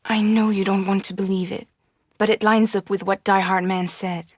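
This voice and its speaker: A woman's voice: medium pitch, flat delivery, moderate pace, dry recording, clean studio sound, natural voice. An actress playing a weary, wry young woman courier in a video game, guarded but caring, speaking in an understated, intimate way. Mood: weary, serious.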